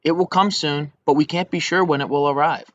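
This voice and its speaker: A man voicing a smart, polite, slightly grumpy lion in a measured storybook reading. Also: a little high, fairly even intonation, a bit bright, no echo, natural voice. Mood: thoughtful. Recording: dull lo-fi recording.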